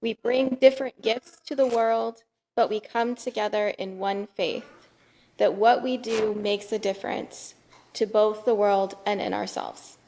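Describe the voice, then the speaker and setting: A woman's voice: mid-range voice, steady tone, measured pace, darkish tone, slight background noise, poor audio. A woman giving a scripted reading as a member of a church congregation.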